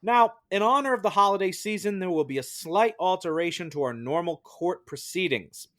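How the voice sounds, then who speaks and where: A man's voice: sits high, lively intonation, normal speed, fairly bright tone. A man hosting a mock trial as presiding judge, reading a script in a formal, ceremonious way.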